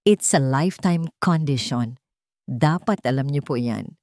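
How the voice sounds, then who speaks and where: A woman's voice: rumbling low, animated, a little slow, darkish tone, no room sound. Woman narrator as a frank family doctor, serious and firm, dry story audio. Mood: grave.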